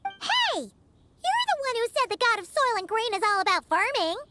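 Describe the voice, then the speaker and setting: A woman voicing a tiny, excitable, childlike girl in a video game, naive and cheeky. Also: moderate pace, music in the background. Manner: squeaky, childish, hesitant then blurting. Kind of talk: cartoonish game voice acting.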